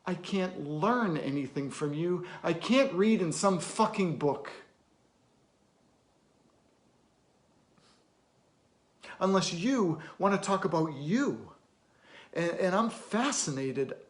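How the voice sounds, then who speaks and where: A man performing a dramatic film monologue as a weary, blunt middle-aged therapist, deliberate, with weighty pauses and emphatic bursts. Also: medium pitch, gravelly. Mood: heated, indignant. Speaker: actor.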